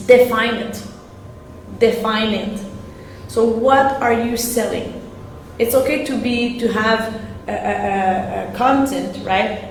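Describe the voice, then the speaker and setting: A woman whose first language is French gives a live-streamed sales talk in English, hesitating and often repeating and restarting her words. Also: fairly high pitch. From French-speaking. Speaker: sales coach. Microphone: live-stream camera. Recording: very echoey room, faint noise.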